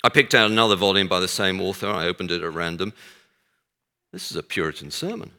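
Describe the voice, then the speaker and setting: A man's voice: low-pitched, steady tone, fast, sharp tone. A middle-aged man with a Texan accent, giving a speech to an audience as a guest speaker, with dry self-deprecating humor and frequent hesitations. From Texas. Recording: long hall reverb.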